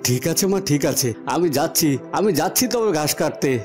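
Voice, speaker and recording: A man's voice: a little high, lively intonation, a little fast, natural voice. A man voicing a poor young village son in a cartoon, grumbling yet dutiful and earnest. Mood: dutiful, resigned. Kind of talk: cartoon voice acting. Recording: music behind the voice.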